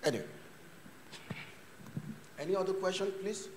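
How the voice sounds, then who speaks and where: A man's voice: high-pitched, moderate pace, a little hiss or hum. A man giving a chatty conference lecture in loose English, mixing in Turkish words and acting out little scenes. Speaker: invited lecturer. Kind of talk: conference talk with Q&A. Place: university conference hall. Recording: reverberant lecture hall.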